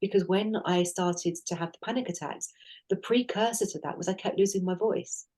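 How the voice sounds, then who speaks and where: A woman's voice: mid-range voice, a little fast, darkish tone, poor audio. A middle-aged woman co-hosting a podcast, talking in an earnest, reflective way, often restarting her sentences. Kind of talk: casual conversational podcast.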